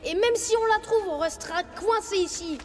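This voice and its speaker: A woman's voice: very high voice, normal speed. A woman voicing a young tiger cub, a grumbling but loyal sidekick in a French cartoon dub, with a childlike, whiny delivery. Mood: reluctant, grumbling. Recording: music behind the voice.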